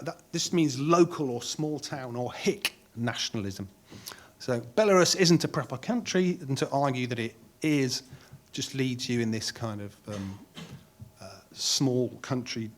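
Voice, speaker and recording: A middle-aged man speaking on a conference panel in a measured way, pausing with frequent ums and restarting phrases. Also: medium pitch.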